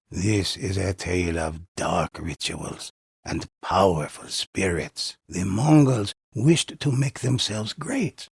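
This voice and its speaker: Deep, gravelly old storyteller. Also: male voice, dry recording, studio quality, heavily acted. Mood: solemn, dramatic. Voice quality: gravelly, husky. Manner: slow, solemn, measured storytelling. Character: old male storyteller, grave and solemn, telling ghost legends. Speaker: narrator of a video game. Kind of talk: dramatic scripted legend narration.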